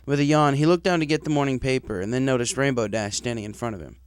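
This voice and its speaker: A man narrates a story from a script in a middling-pitched voice. The sound is dry and close, with hardly any room around it. He delivers it as an audiobook reader would. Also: fast, smooth, no echo. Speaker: audiobook reader of fan fiction.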